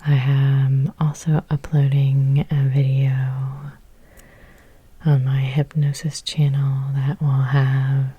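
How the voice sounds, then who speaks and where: Slow, breathy whispering woman. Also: rumbling low, level tone, no echo, lo-fi sound. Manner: gentle, soothing, unhurried with long pauses. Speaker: hypnotherapist and ASMR host. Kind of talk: whispered ASMR guided hypnosis meditation. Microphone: close microphone.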